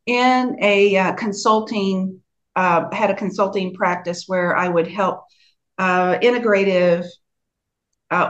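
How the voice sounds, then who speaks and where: Slow, relaxed middle-aged woman, soft Southern lilt. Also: mid-range voice. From United States. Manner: slow, measured, with frequent fillers. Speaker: guest, sound healing practitioner. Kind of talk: relaxed interview answers.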